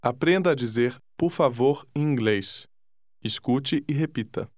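Calm male language-course narrator. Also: medium pitch, dry recording, clean studio sound. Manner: calm, deliberate prompting. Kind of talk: scripted instruction.

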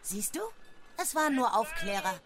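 A woman's voice: a little high, animated, normal speed, crowd noise, close to an everyday voice. A woman playing a carefree, curious young schoolgirl in a German radio drama. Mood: carefree, cheerful.

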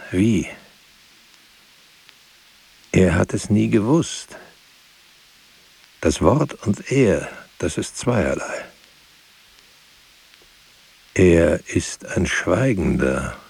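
An actor playing a brooding, weary writer who speaks poetic radio drama monologue in a deliberate, halting way. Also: male voice, lively intonation, heavily acted. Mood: resigned, searching. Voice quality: gravelly, husky, low. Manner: deliberate, halting, hushed at times. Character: brooding, weary male writer pondering word and truth.

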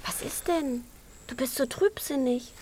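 A woman playing a little chick in a children's radio play, a caring friend who talks gently but bluntly. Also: high-pitched, measured pace, a little hiss or hum. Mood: prodding.

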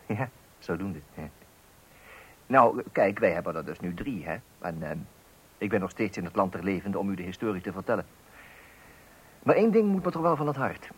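A man's voice: low voice, husky, low-quality recording. An actor playing a put-upon father of three, chattily narrating to listeners in a mock-solemn radio comedy style. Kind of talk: comic narration addressed to listeners. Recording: old radio play recording.

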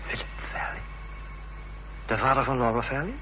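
An actor playing an earnest, probing gentleman in a radio drama, with a grave, measured way of questioning. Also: medium pitch, natural voice. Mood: astonished. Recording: muffled, noisy old radio recording.

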